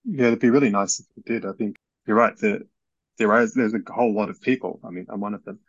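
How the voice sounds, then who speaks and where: A man with a lowish voice speaks in a reflective, easygoing way. The sound is dry and a little dull, like a lo-fi recording. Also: fast talker, no echo. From Australia. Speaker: researcher in discussion. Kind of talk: casual discussion.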